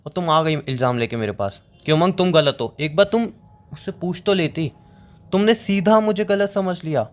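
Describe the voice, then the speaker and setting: An actor as a wronged young man in a phone-call drama, pleading to be heard. His voice sits a little high, and he defends himself with aggrieved, earnest insistence in casual speech. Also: moderate pace, natural voice. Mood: defensive, hurt.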